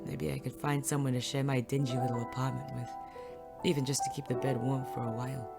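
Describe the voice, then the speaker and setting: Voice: mid-range voice, flat delivery, brisk, creaky, over loud music, natural voice. An actor playing a weary, cynical hard-boiled private detective in a noir audio drama, wry and sardonic. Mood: wistful. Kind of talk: 1940s noir audio drama acting and narration.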